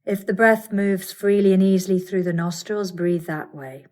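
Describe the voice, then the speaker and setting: A woman teaching yoga nidra, guiding a meditation in a calm, soothing, deliberate way with pauses. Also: medium pitch. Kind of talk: guided meditation.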